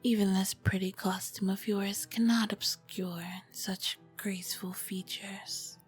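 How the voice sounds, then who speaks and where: A woman playing an ancient, charming, flirty vampire queen in a whispered ASMR roleplay, with elegant, formal diction and a soft breathy voice. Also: mid-range voice, fairly even intonation, slow, soft background music. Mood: flirty, teasing.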